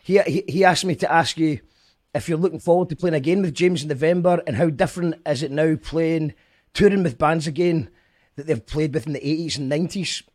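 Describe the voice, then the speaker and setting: A man's voice: a little high, a little fast, a little mellow, no echo, clean studio sound. A man interviewing a singer, friendly and laughing easily. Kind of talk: casual interview chat.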